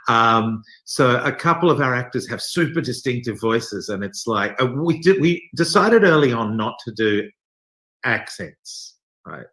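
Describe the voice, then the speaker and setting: A man's voice: medium pitch, animated, a little slow, bright, forceful tone. An Australian man explaining things in a casual interview, thinking aloud with some wry humour. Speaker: theatre company director being interviewed.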